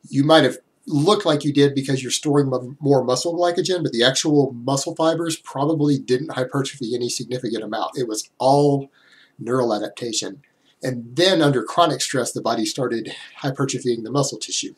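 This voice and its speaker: A man in his thirties, a little hoarse from a cold, explaining fitness science directly to a webcam in a small room at home. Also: medium pitch. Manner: direct, explanatory, talks with hands. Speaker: fitness YouTuber. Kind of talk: explanatory vlog to camera.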